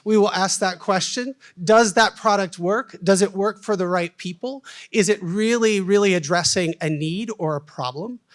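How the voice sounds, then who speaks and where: A man in his forties with a Southern American accent opens a conference panel, talking in a folksy, self-deprecating way. His voice sits fairly high, and he fills his sentences with ums and uhs. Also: moderate pace, hardly any pauses. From United States. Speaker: panel moderator.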